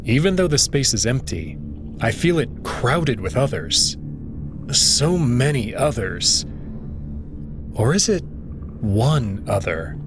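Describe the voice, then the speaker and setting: Slow, expressive male narrator over music. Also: mid-range voice. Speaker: reader voicing a first-person protagonist. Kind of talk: dramatic first-person scripted narration.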